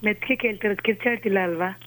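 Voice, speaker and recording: A woman speaks very quickly at an average pitch. She argues over the telephone, curt and impatient, scolding the person on the other end. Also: a bit bright, a little hiss or hum, rough recording. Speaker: prank call recipient. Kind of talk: argumentative phone conversation. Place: on a telephone call.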